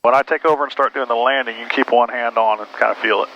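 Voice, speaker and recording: A middle-aged American man, a pilot, calmly explaining things in casual cockpit talk and radio calls. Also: mid-range voice, quick pace. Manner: calm, explanatory. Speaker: pilot and flight mentor. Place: small airplane cockpit in flight. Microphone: aviation headset. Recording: muffled aviation headset, cockpit noise.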